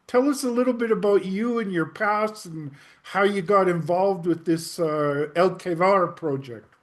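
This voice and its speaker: High-voiced male host, slow and hesitant. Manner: hesitant, friendly, many "um" fillers. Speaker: interview host. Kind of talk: interview questions.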